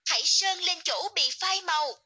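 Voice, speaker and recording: A woman's voice: extremely high pitch, lively intonation, normal speed, bright, forceful tone. A woman narrating scripted, encouraging instructions for a children's game.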